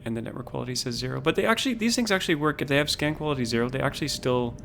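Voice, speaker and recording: A man livestreams his game and talks it through in a calm, low-key way. He thinks aloud and often restarts his sentences. Faint music plays behind him. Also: very fast, vocal fry. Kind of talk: casual gameplay commentary.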